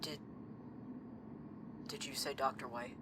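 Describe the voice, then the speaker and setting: Actress as low-voiced, deadpan, sardonic woman seer. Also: slow, background noise. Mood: alarmed. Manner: deadpan, dry, blunt. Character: blunt, sardonic woman who sees visions. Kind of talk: audio drama acting.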